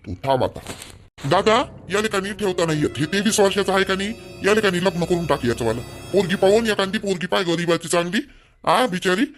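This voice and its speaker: A man's voice: high-pitched, expressive, fairly quick, a bit bright, soft background music. A man playing an insistent village uncle in a cartoon, reasoning persuasively in Vidarbha Marathi. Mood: firm, urging.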